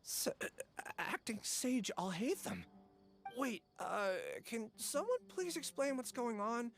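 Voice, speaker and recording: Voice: medium pitch, big pitch swings, takes it slowly, soft background music. A performer playing a nervous, rambling researcher in a video game, stammering and pleading anxiously. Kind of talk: scripted video game dialogue.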